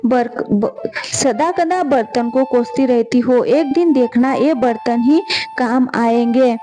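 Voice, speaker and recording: A woman's voice: on the high side, slow, dark tone, soft background music. A woman voicing a stubborn, weary village potter and father in a homely, grumbling manner for a told story.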